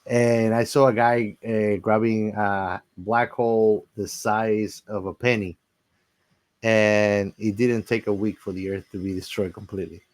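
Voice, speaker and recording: A man hosting a casual movie discussion, haltingly thinking aloud and repeating words as he goes. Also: on the low side, little pitch movement. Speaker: podcast host.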